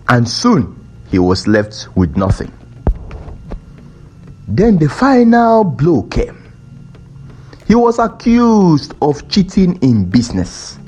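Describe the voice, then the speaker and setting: A man narrating a scripted folktale in a measured, deliberate storytelling style with dramatic pauses. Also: on the high side, darkish tone, poor audio. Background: background music.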